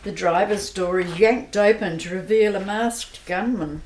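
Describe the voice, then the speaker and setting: A woman's voice: medium pitch, measured pace, a little mellow. A woman narrating an amateur radio play in a plain, matter-of-fact way. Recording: roomy amateur recording with faint hiss.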